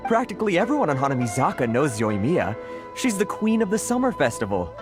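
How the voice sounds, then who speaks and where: A man's voice: a little high, very animated, fast, over music. A man voicing a polite, earnest townsman in a video game dub, speaking with gratitude and admiration.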